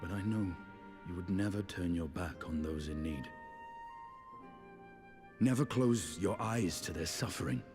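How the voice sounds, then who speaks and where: Deep-voiced, stern warrior. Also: male voice. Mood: stern candour. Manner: grave, measured, formal delivery. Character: stern, world-weary male warrior, grudgingly admiring. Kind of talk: scripted fantasy game dialogue. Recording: long reverb, music underneath.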